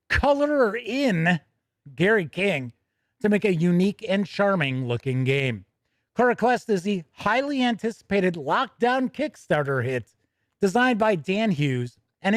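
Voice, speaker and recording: A man's voice: sits high, no room sound, studio quality. A man hosting a tabletop gaming news show, mixing emphatic reading of copy with chatty, self-teasing asides. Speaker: host.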